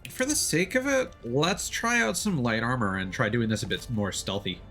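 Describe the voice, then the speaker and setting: A man's voice: mid-range voice, lively intonation, moderate pace, a bit bright, soft background music. A man doing live let's-play commentary, casually explaining the game as he plays. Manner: casual, instructive. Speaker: game streamer. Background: video game ambience.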